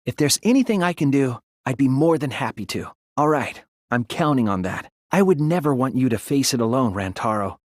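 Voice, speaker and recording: A man voicing an earnest, timid yet resolute teenage boy detective in an English anime game dub, polite and soft-spoken. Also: medium pitch, lively intonation, brisk, hardly any pauses, no echo, clean studio sound, close to an everyday voice. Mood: calm, thoughtful. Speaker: video game voice actor.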